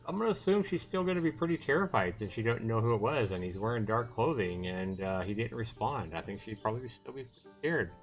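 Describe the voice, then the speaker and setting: A man's voice: medium pitch, animated, brisk, hardly any pauses, low-quality recording. A male livestreamer reading a visual novel aloud and adding casual commentary. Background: background music.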